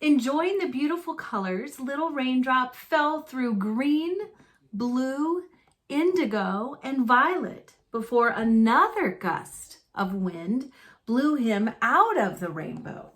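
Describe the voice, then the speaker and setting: A woman reading a children's picture book aloud in a deliberate storytelling manner, phrased simply for young listeners. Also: sits high, lively intonation, fairly bright tone. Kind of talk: children's read-aloud narration.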